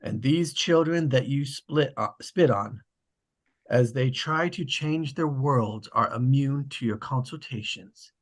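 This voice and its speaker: A man with a slightly husky voice, casually hosting an online table read and narrating the stage directions. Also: mid-range voice, slow. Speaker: host and stage-direction reader. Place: online video call.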